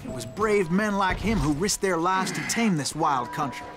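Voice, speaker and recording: An eager young hero-worshipper in a Western video game. His American voice sits a little high as he tells heroic tales with earnest drama. Music runs behind the narration. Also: lively intonation, normal speed. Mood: admiring, dramatic. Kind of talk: scripted in-character narration.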